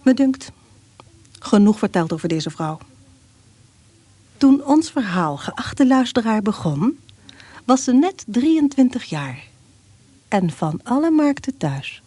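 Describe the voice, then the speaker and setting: An actress playing a wry, knowing storyteller who narrates a Dutch radio play straight to the listener. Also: female voice, a little high, big pitch swings, slow, pauses often, dark tone, no room sound, exaggerated voice. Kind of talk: scripted narration.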